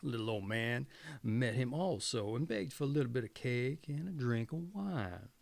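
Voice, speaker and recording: A man's voice: mid-range voice, expressive, normal speed, creaky, no echo. A man reading fairy tales aloud as a storyteller, dramatic and folksy, sometimes correcting his own reading. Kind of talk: fairy tale narration, read aloud.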